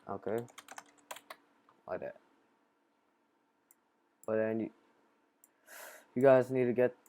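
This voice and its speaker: Low-voiced man giving a casual tutorial. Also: darkish tone, no room sound. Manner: halting, improvised step-by-step explaining. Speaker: tutorial host. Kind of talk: casual screen-recorded software tutorial.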